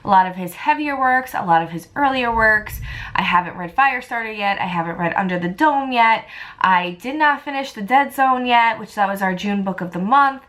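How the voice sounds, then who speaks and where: A woman's voice: fairly high pitch, animated, normal speed, a bit bright. A woman chatting casually and enthusiastically to viewers as a book vlogger.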